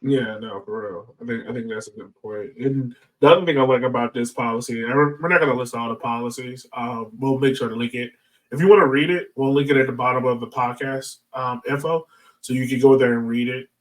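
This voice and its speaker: A man with a mid-pitched voice hosts a casual podcast discussion. He talks conversationally with plenty of "like" and "you know". He sounds slightly off the microphone. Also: fairly even intonation, brisk, recorded from a distance, rough recording. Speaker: podcast host.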